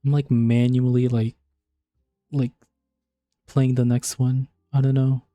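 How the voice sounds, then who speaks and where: A man's voice: medium pitch, little pitch movement, takes it slowly, dark tone. A man chatting casually as a gaming livestreamer, peppering his talk with "like". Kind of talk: casual gaming stream chat. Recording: music playing behind. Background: background music.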